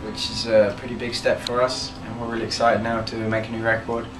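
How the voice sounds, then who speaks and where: A young man from Birmingham talks casually in an interview, steady and measured with many fillers, as band leader. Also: on the low side, noisy background. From Birmingham, England. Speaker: band leader, guitarist and singer. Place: band label office. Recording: roomy office, distant mic, music behind.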